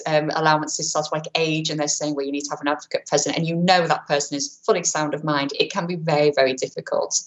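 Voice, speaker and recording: A woman presenting an online training webinar, talking quickly in a chatty way with many fillers and self-corrections, into a webcam mic. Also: deep voice, a bit bright, rough recording. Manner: says she has a powerful voice. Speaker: webinar presenter on vulnerable clients.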